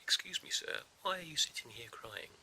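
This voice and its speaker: A man's voice: a little high, expressive, normal speed, very noisy, pitched above the performer's own voice, lightly acted. A man voicing a young, determined male pig from a storybook, speaking in a whisper. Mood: earnest whisper. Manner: whispered, earnest and plain.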